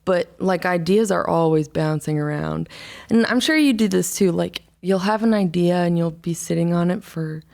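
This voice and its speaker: A young woman, an artist, talking casually in an interview, rambling and halting with many fillers and restarts. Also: on the low side, creaky, a little mellow. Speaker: album artist, interview guest. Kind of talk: casual conversation.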